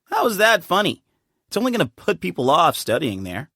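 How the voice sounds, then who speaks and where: A man's voice: high-pitched, huge pitch swings, bright, forceful tone, no echo, heavily acted. An actor voicing an easygoing, playful young man who leads a desert temple, recorded on a studio mic. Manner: playful and chummy, quick to laugh. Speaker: game character voice actor. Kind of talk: video game character voice lines. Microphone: studio microphone. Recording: dry studio booth.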